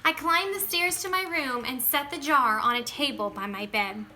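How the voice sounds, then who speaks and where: A woman reading a children's picture book aloud in an expressive, dramatic way, stretching words for emphasis and moving between hushed phrases and bursts. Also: high voice, moderate pace, a little hiss or hum.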